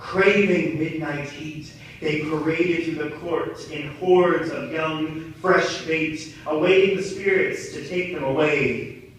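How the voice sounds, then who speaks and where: A young adult man performing a dramatic spoken-word monologue in a theatrical, deliberate, poetic delivery. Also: a little high, steady tone. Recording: very echoey, distant mic, noisy room.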